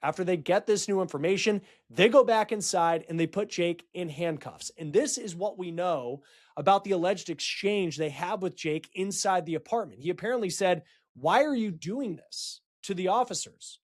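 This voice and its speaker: Higher-pitched man reporting a crime case. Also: normal speed. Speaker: true-crime show host. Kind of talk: reporting a crime case, explanatory news storytelling.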